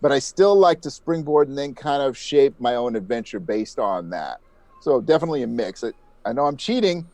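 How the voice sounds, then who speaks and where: A man's voice: mid-range voice, animated, a little fast, a little mellow, no room sound. A man chatting casually as an interview guest, with an agreeable, self-deprecating way of talking. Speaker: podcast creator and audiobook narrator, interview guest.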